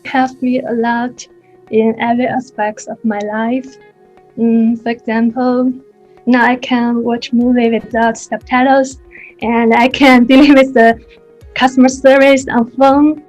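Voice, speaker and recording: A woman with a fairly high voice speaks simple, non-native English in a testimonial about her classes. Soft music runs beneath her voice. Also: flat delivery, measured pace, low-quality recording. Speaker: adult English learner. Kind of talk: testimonial interview.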